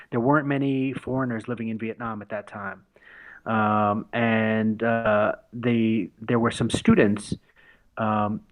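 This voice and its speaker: Guest: man in his forties from the States, mid-pitched, loose anecdotal delivery full of fillers and laughs. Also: poor audio. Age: 40s. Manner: laughing storyteller with many fillers and restarts. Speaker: podcast guest. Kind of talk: casual conversation.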